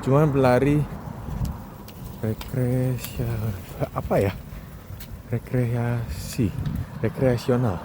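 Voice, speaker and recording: A man's voice: mid-range voice, fairly even intonation, takes it slowly, long pauses. A man around thirty, rambling casually in a conversational solo monologue full of rhetorical questions. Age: 20s or 30s. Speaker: solo podcast host. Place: outdoors, walking along a street. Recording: outdoor urban noise, passing vehicles. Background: clear traffic and ambient noise.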